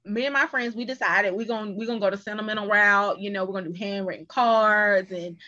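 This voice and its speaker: A woman's voice: mid-range voice, steady tone, a little fast, sharp tone, muffled recording. A woman with an American accent chatting casually as a guest on a podcast. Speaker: podcast guest, voice cast member. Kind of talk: casual chat.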